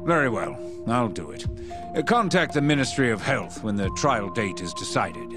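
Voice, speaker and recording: Guy doing a stuffy middle-aged government boss in a game, aloof, medium pitch, music behind. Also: big pitch swings, normal speed, close to an everyday voice. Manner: formal, aloof. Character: guarded, haughty middle-aged male senior official. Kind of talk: video game cutscene acting.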